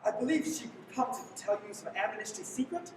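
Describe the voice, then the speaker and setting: A woman's voice: on the high side, animated, fairly quick, lightly acted. A woman acting a wary, hesitant, earnest man on the run in a radio play. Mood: earnest, uneasy. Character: wary young man on the run, wrongly accused. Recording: reverberant hall, distant mic, clear background noise.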